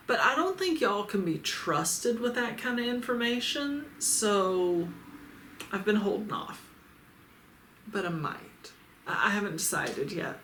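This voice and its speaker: A woman's voice: mid-range voice, fairly bright tone, slight background noise. A Midwestern woman reading viewer comments aloud in a casual vlog, blunt, sarcastic and freely swearing. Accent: Midwestern American. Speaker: adult toy reviewer and YouTuber. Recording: roomy echo with faint ambience.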